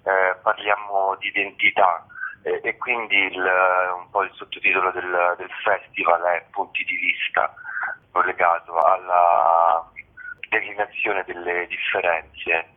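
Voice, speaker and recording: Male interview guest, low register, hesitant delivery full of searching pauses, heard over a tinny telephone line. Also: little pitch movement. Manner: halting, searching for words, frequent hesitations. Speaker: interview guest, festival curator. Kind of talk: radio phone interview. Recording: tinny, narrow phone line.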